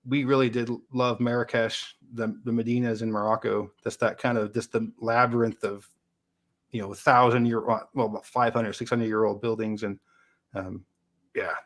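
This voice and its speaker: A man's voice: mid-range voice, level tone, moderate pace. American man chatting casually, thinking aloud. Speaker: YouTube geography creator in a two-way interview. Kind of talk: casual unscripted chat.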